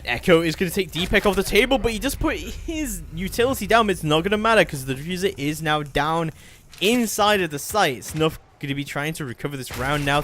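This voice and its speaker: Male esports caster over clear background noise. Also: fairly high pitch, fairly bright tone. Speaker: esports play-by-play commentator. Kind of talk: live match commentary.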